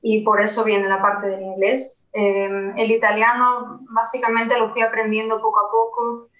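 A woman's voice: fairly high pitch, flat delivery, moderate pace. A young woman with a Spain Spanish accent, telling her story steadily as an interview guest. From Vigo, Galicia, Spain. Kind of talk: casual conversational interview. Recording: echoey, dull remote audio.

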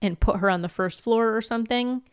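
Woman, smooth clear voice, casual podcast co-host, informal, giggly, TV recap chat. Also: a little high, a little fast.

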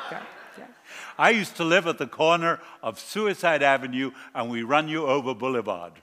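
A man's voice: fairly high pitch, normal speed, bright, forceful tone, long reverb tail, a little hiss or hum. A man from Argentina preaching a sermon as a guest, mixing jokes and personal stories into his message. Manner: jokey, anecdotal.

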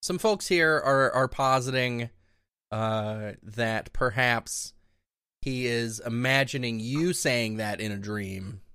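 A man's voice: medium pitch, slow, pushed and bright, smooth, dry recording. A man co-hosting a podcast, reading out listener comments and adding dry wisecracks. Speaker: podcast co-host. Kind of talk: casual advice chat.

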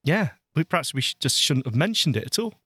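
A man's voice: a little high, sing-song, fast, fairly bright tone, breathy edge, no echo, studio quality. A man co-hosting a podcast in casual, jokey chat, teasing as he talks. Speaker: podcast co-host.

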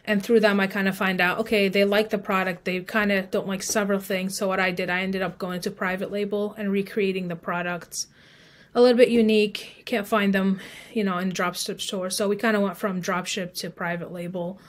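A woman's voice: mid-range voice, little pitch movement, a little fast. Woman explaining her work in conversation. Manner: informal, explanatory, frequent "like" and "you know". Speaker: podcast guest, email marketing expert. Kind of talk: conversational interview, telling own business story.

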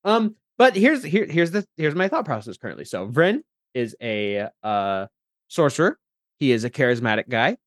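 A man chatting casually as a podcast guest, animated and thinking aloud as he goes. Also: medium pitch, normal speed, no echo. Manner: animated, thinks aloud about character voices. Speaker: podcast guest, tabletop role-player. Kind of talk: casual chat.

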